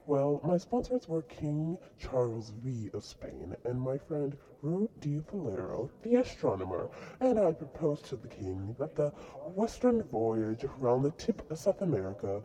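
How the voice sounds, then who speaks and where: A man's voice: medium pitch, sing-song, measured pace, mellow, rough recording. A man playing a boastful dead explorer in a mock interview, reciting his answers plainly. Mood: explaining. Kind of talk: school-project mock interview.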